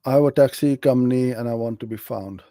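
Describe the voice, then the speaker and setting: Middle-aged guy from pakistan, super mellow dark voice, dry close sound, chatty live q&a host. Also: medium pitch, fast, dry recording. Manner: conversational, practical, friendly and direct. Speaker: host answering viewer questions. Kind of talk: live Q&A on online advertising.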